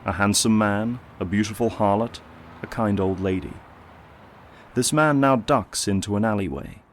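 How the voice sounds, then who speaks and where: A man's voice: on the low side, moderate pace, slight background noise, lightly acted. A man narrating a scripted audio drama as a solemn storyteller.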